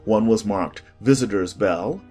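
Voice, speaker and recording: A man narrating a storybook from a script as an expressive audiobook reader. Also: fairly low pitch, unhurried, faint music behind.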